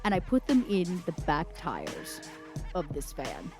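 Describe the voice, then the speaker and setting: A woman's voice: on the low side, huge pitch swings, measured pace, over music. A woman talking casually as a player in a tabletop roleplaying game, describing her moves and working out rolls.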